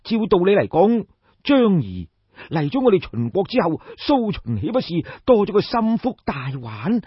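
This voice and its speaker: A man's voice: high voice, wildly swooping pitch, normal speed, breathy edge, dry recording, dull audio, voice pushed up, exaggerated voice. A man voicing a king's deferential younger brother in an audiobook, humble, puzzled and eager with questions. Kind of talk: dramatised audiobook storytelling.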